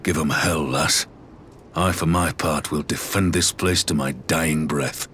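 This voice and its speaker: An actor playing a gruff, devout soldier in a game cutscene, grave and steadfast. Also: male voice, rumbling low, steady tone, a little slow, a bit bright, a little hiss or hum. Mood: grim resolve. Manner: grave, resolute. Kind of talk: dramatic game cutscene voice acting.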